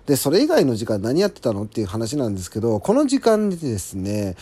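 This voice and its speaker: A man talks alone as the host of a casual show, in a soft-edged, mellow timbre. His intonation rises and falls in a very lively way. He is polite and conversational, often pausing to agree with himself as he updates his listeners. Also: mid-range voice, husky, no echo. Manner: polite, apologetic, conversational with frequent agreeing asides. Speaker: solo audio show host.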